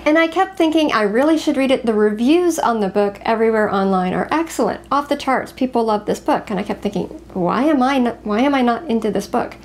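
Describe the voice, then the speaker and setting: A woman co-hosting a casual book chat, talking in an expressive, confiding way. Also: fairly high pitch, fairly quick, hardly any pauses. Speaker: co-host of a book review show.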